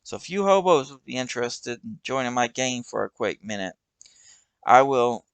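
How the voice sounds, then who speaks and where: A man's voice: mid-range voice, expressive, normal speed, creaky, smooth, dry recording, pitched above the performer's own voice. A man doing a brash, swaggering young thug. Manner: aggressive, swaggering. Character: brash young male gang leader, aggressive.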